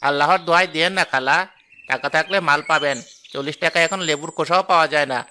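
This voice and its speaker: A man's voice: on the high side, fast, pushed and bright, slight background noise. A man playing a brash, greedy market seller. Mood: scornful. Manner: brash hawker's patter, dismissive and mocking. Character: greedy, rude male market fruit seller. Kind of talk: cartoon voice acting.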